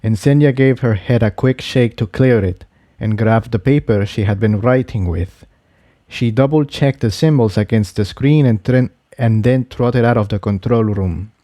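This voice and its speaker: A man reading scripted fiction aloud as an expressive story narrator. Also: medium pitch, moderate pace, no echo, studio quality.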